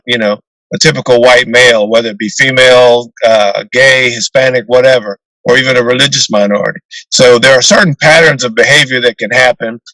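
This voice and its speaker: An older man with a deep voice, a retired naval officer answering interview questions in a measured way with fillers and restarts, over a video call. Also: bright, forceful tone, no room sound, low-quality recording.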